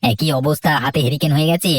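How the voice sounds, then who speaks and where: A man's voice: on the high side, quick pace, no echo, lightly acted. A man playing a harried village farmer in a cartoon, speaking in a respectful, earnest manner. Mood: weary, resolved. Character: deferential village farmer, plain-spoken and harried. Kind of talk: cartoon dialogue.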